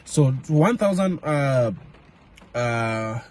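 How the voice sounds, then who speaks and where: A young man from Kenya talking casually to camera in a vlog, halting with many fillers and restarts. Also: mid-range voice, animated, darkish tone. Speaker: vlogger. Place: home in Madrid area.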